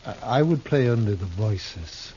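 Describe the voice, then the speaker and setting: A slow, florid, desperate theatrical fugitive. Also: male voice, on the low side, expressive, dark tone. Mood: anguished confession. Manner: theatrical, florid, archaic speech. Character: desperate, bitter, misshapen Shakespearean actor turned fugitive gunman. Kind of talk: 1950s American radio drama acting. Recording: dull, noisy 1950s radio recording.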